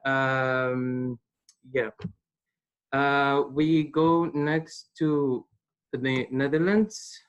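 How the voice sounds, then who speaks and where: A man's voice: mid-range voice, fairly even intonation, clean tone. Hesitant young man presenting, muffled audio. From Palestine. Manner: hesitant, with many pauses. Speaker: student presenter. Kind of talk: webinar presentation.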